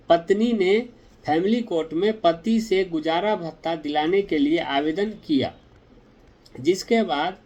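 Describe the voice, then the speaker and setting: A man explaining a legal ruling to viewers in a direct, deliberate, explanatory way. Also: high voice, darkish tone.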